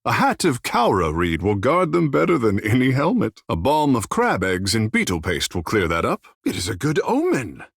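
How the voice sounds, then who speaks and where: Flamboyant husky young demigod, swooping intonation. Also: male voice, medium pitch, wildly swooping pitch, normal speed, few pauses, no echo, clean studio sound, full character voice. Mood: playful, teasing. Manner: theatrical, lofty formal phrasing. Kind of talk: scripted fantasy video game dialogue.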